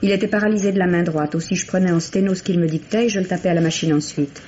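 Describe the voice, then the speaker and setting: A woman's voice: on the low side, rapid-fire, mellow, lightly acted. A young woman's voice , an actress's, as a reserved secretary giving cool, candid testimony. It is radio mystery acting, heard on a dull old recording with noticeable noise. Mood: composed, guarded.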